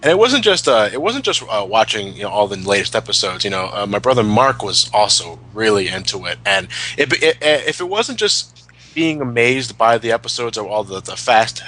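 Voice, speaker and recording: A man's voice: lowish voice, sing-song, normal speed, sharp tone, poor audio. A man in a casual fan discussion, ranting emphatically and swearing freely. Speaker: commentary show host.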